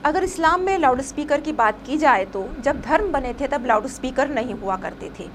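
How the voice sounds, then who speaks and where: A woman's voice: sits high, animated, moderate pace. A woman reading a scripted news report as a presenter, with some noise behind her.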